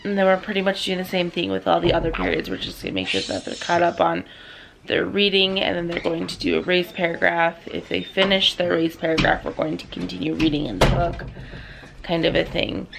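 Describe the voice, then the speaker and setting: A young woman, a student teacher, chatting casually in a vlog, rambling with lots of "um" and "like", her voice slightly hoarse as she loses it. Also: on the low side, fairly quick, background noise. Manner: rambling, full of "um" and "like".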